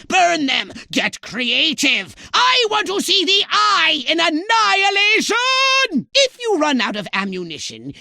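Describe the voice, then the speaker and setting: Haughty alien commander, wildly swooping delivery. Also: male voice, very high-pitched, pushed and bright, no echo, studio quality, full character voice. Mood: urgent, gleeful, then commanding, instructive. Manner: pompous, theatrical, clipped enunciation, sardonic. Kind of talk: scripted video game mission dialogue.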